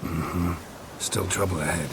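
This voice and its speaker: An actor playing a weathered, calm older monster hunter in a video game, terse, deadpan and sardonic. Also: male voice, sits low, a little slow, gravelly, noisy background. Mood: dry. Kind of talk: video game character acting. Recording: loud music bed under dialogue.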